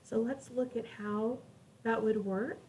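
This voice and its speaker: A woman's voice: a little high, fairly even intonation, takes it slowly, darkish tone. A woman teacher reading aloud to young children, explaining things in simple words and putting questions to her listeners. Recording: distant microphone with faint background noise.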